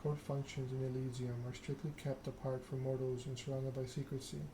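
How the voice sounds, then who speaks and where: Soft-spoken man with little pitch movement, noisy background. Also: level tone, brisk. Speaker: game streamer, host. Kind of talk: soft-spoken ASMR reading and stream chat.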